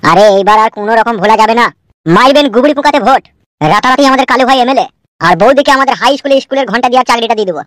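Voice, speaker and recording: A man's voice: very high-pitched, expressive, very fast, a bit bright, dry recording. A man with a rural West Bengal accent voicing an insistent, bullying, foul-mouthed political henchman in a comic cartoon. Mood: persuasive. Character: pushy, foul-mouthed male party henchman canvassing for votes. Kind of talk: fast comic cartoon dialogue.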